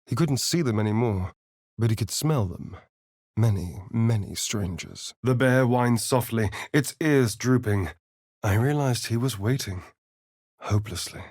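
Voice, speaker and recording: A vain, sly male vampire for a fantasy video game. His pitch swoops widely while he takes his time over each arch, sardonic phrase. The sound is dry and close, with no room on it. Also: dry recording. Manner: theatrical, arch, sardonic drawl. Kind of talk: video game companion voice lines and narration.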